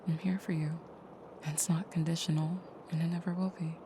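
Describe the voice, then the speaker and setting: A woman playing an intimate vampire girlfriend in an audio roleplay, speaking softly and often dropping into a whisper. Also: deep voice, level tone, normal speed, noisy background, close to an everyday voice. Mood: tender, soothing. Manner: soft, breathy murmuring, often whispered, soothing. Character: female vampire girlfriend, protective and tender, menacing in nightmare.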